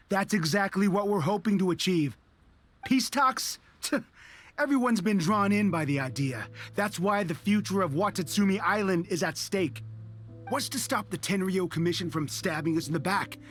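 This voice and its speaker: An actor playing a grim, stubborn rebel soldier in a video game, intense and defiant in tone. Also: male voice, high-pitched, fairly bright tone.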